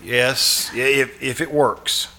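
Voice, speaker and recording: A man playing an anxious, haunted fellow in live old-time radio suspense style, halting, pleading and earnest. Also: medium pitch, steady tone, bright, forceful tone. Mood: tense, coaxing. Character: anxious, haunted man obsessed with a shadowy vision.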